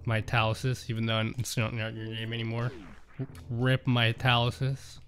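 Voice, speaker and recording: A man's voice: mid-range voice, completely flat delivery, normal speed, fairly bright tone, a little hiss or hum. A man streaming a game, chatting casually and joking with his viewers as he reads their messages aloud. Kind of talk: casual chat while playing a game.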